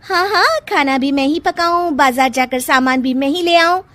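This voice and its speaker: Woman doing a sharp-tongued young wife in a cartoon, high voice, argues back, dry close sound. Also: moderate pace, no room sound, faint music behind. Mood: exasperated. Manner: indignant, argumentative. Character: sharp-tongued defiant young daughter-in-law.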